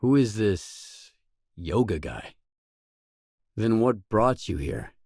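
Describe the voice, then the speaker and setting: Casting: pragmatic wasteland mercenary in a video game; lowish voice, dry close recording, restrained and matter-of-fact. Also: male voice, very animated, takes it slowly, darkish tone, dry recording, heavily acted. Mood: matter-of-fact, guarded. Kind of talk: video game character dialogue.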